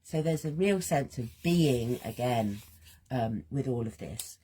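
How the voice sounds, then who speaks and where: A woman hosts a gentle, reflective art session in a low, husky voice that sounds a little strained. She speaks slowly and muses aloud with pauses, her pitch moving freely up and down. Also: animated, slight background noise. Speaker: host of a creative healing art session. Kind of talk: gentle guided reflection while drawing.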